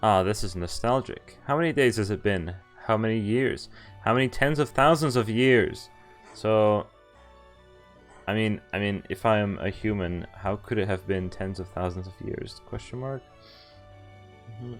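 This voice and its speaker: A man streaming a visual novel, reading its text aloud and chatting in a halting, thinking-aloud way. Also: fairly low pitch, soft background music.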